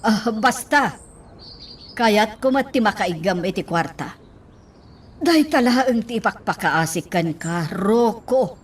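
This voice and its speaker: A Filipino woman playing an excitable, nervous, wheedling wife in a radio drama, with theatrical exclamations. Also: medium pitch, slow, slightly rough, recorded from a distance. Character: excitable, nervous, pleading wealthy wife learning to drive.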